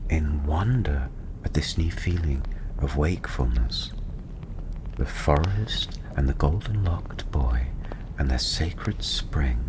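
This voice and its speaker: Fantasy ASMR narrator: low-pitched, slow, breathy and hushed, over clearly audible background ambience. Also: animated. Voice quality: soft, breathy, half-whispered. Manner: soothing, hushed, unhurried. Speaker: storyteller. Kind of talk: ASMR fantasy bedtime storytelling, scripted narration. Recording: constant ambient noise behind the voice. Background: ambience, crackling tavern fire.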